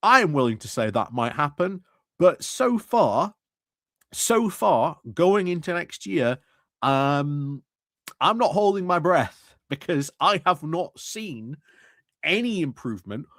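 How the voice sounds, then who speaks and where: A man's voice: on the high side, big pitch swings, slow pace, fairly bright tone, no room sound, studio quality. A man giving casual commentary on meeting clips, hesitant, with many fillers and restarts. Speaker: political commentary streamer.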